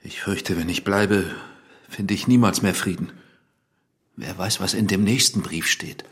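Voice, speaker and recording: An actor playing a weary accused husband in a radio drama, speaking in a subdued, halting way. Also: male voice, deep voice, fairly bright tone. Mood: troubled. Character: weary accused Italian husband under questioning. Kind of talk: German radio drama acting.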